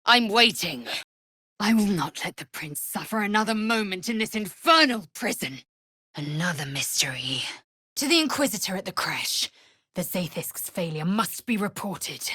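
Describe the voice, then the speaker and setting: A woman playing a fierce, proud alien warrior in a video game, speaking in a haughty, clipped, formal manner with a husky edge. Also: mid-range voice, big pitch swings, normal speed, bright, forceful tone, no room sound, studio quality, heavily acted. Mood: commanding. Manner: imperious, clipped, formal phrasing. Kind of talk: video game character acting.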